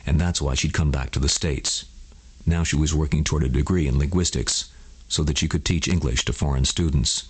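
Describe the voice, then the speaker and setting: A man with a husky voice narrating a scripted bedtime story for adults. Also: extremely low pitch, fairly even intonation, a little fast.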